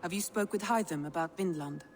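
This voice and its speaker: An actress playing a shrewd, composed Viking clan advisor in a video game, measured and businesslike. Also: female voice, fairly low pitch, slight background noise, natural voice. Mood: composed, advising. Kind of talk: dramatic video game acting.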